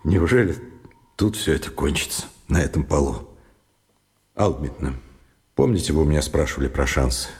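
A man's voice: rumbling low, animated, fairly quick, pauses often, darkish tone. An actor playing an educated, ashamed man who confesses earnestly and insistently in a radio drama. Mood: resigned. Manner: earnest, insistent, repeating himself. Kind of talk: Russian radio drama acting.

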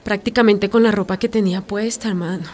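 An actress playing a lively, resilient young Colombian refugee in a radio drama, speaking in an animated, familiar, slangy way with a Colombian accent. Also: female voice, medium pitch, quick pace, natural voice. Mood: sorrowful, resilient.

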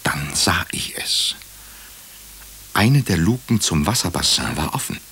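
A man playing a nervous doctor who narrates his own night-time ordeal in a suspenseful, deliberate way for a radio crime drama. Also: low voice, animated, sharp tone, slightly rough, exaggerated voice. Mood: tense, watchful.

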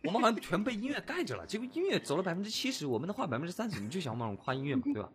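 A man's voice: sits high, very animated, racing, fairly bright tone, soft background music. A man chatting casually as a voice actor guest, joking and rambling as he goes. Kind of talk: casual chat.

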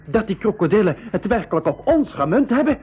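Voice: medium pitch, very animated, brisk, breathy edge. A performer playing a plucky, earnest young boy in a 1980s school radio adventure. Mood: confident. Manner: exclamatory, earnest. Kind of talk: 1980s school radio drama acting in Dutch. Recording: slightly muffled 1980s radio recording.

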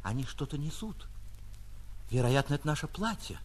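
A man's voice: a little high, sing-song, moderate pace, pitched above the performer's own voice. A male actor playing an anxious, desperate musician in a fretful, comically tragic manner. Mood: suspicious. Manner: melodramatic, fretful.

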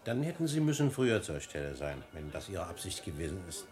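An actor playing a worldly, teasing family doctor in a radio drama, with a dry, deliberate, ironic manner. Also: male voice, low-pitched, sing-song, a little mellow, soft background music. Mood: dry irony. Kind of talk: German radio drama acting.

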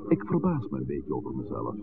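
An actor playing a reflective, cautious older village priest in a 1960s radio drama, speaking formally and with measured phrasing. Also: male voice, very deep voice, wildly swooping pitch, vocal fry, heavily acted. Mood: pensive, wistful. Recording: noisy, tinny old radio recording.

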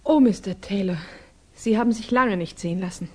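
A woman's voice: medium pitch, brisk, dark tone, slightly rough, natural voice. An actress playing an earnest, worried young Russian woman of good family in a 1960s German radio drama, grave and formal.

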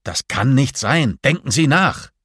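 A man acts out a forceful male doctor in an audiobook thriller, pressing with clipped commands and questions. The voice is raised and husky, urgent and impatient, in a very dry recording. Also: medium pitch, animated, unhurried, dry recording, pitched above the performer's own voice. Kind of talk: audiobook dialogue acting.

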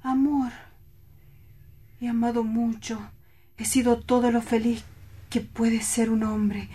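Actor as melancholy male lover, hushed, breathy, slow, wistful, lyrical, intense, radio play. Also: extremely high pitch, little pitch movement, heavily acted. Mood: melancholy, yearning. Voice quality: soft, breathy, half-whispered. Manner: lyrical, wistful, drawn-out reminiscing. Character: melancholy, dreamy, lovelorn romantic man, intense and misunderstood.

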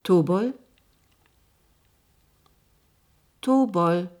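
An actress playing an intelligent, self-possessed scientist, weary under pressure, in a radio drama. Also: female voice, on the low side, slow, darkish tone, a little hiss or hum. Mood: probing.

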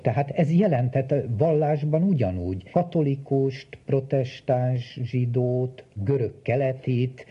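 A man with a gravelly, husky voice giving explanatory interview talk as an expert, in a dull recording. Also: lowish voice. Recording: dull, muffled lo-fi sound.

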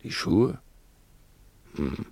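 An actor playing a bossy, mocking burglar leading a murder plot in a 1960s radio play. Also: male voice, very slow, a little mellow. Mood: cool, deliberate. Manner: patronizing, needling, commanding. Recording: 1960s radio drama recording with music.

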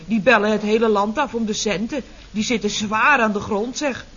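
An actress playing a cheeky, mock-innocent office secretary in a Dutch radio comedy, with sly, sugary phrasing. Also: female voice, a little high, moderate pace, fairly bright tone, close to an everyday voice. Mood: slyly teasing. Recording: dull, faintly noisy vintage radio recording.

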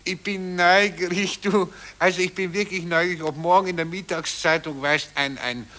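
An actor playing a vain, eager Viennese amateur poet in a satirical radio play, chatty and pleased with himself. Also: male voice, high-pitched, steady tone, moderate pace, bright, forceful tone. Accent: Austrian, Viennese. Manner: self-satisfied, chatty, declaiming verse pompously.

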